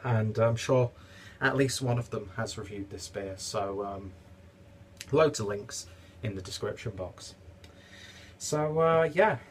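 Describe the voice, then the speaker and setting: A man's voice: fairly low pitch, a little hiss or hum. A young man with a stuffy, congested voice, casually reviewing a beer at home with rambling asides and lots of fillers. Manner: rambling, chatty, many fillers. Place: home kitchen, indoors.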